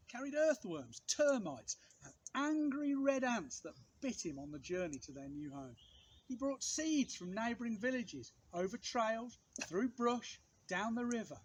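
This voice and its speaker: A man reading a children's picture book aloud in an expressive way, with measured, dramatic phrasing and pauses for effect. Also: high voice, husky, low-quality recording. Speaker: storybook reader, outdoor teacher.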